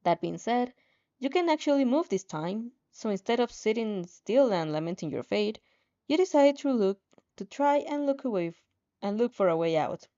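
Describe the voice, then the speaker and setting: A woman reading a story aloud in an expressive, dramatic way, with playful casual asides and the odd stumble over a line. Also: on the high side, normal speed. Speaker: fan fiction reader reacting as she reads. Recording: dull, slightly muffled lo-fi audio.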